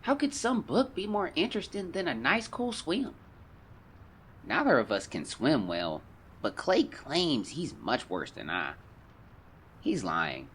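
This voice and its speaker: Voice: mid-range voice, huge pitch swings, measured pace, fairly bright tone, a little hiss or hum. An actor playing an earnest, sensitive young diarist of the 1910s, reading journal entries formally and plaintively in an audio drama. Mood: sulky, hurt. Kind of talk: audio drama diary reading, old-fashioned diction.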